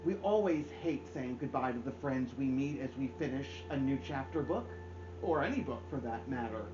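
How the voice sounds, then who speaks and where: A middle-aged man reading aloud to children as a story time host, with theatrical delivery and deliberate pauses. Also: mid-range voice, expressive, lo-fi sound, music in the background. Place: indoors, small home room.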